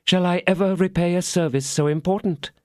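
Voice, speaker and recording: Male reader doing a vindictive noblewoman, scheming, measured, coaxing, raised voice. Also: high voice, fairly even intonation, smooth, no room sound, clean studio sound, voice pushed up, close to an everyday voice.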